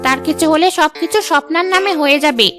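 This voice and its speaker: A woman's voice: high voice, quick pace, music in the background, natural voice. A woman voicing a scheming, greedy older mother-in-law in a cartoon, with a persuasive, calculating manner. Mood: coaxing, calculating. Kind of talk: Bengali cartoon dialogue.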